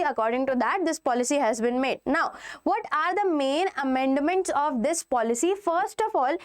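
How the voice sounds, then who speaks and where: A young woman teacher with an Indian English accent, explaining a school policy to students and checking in with them often. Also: sits high. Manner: addresses students directly, checks in with "okay" and "right".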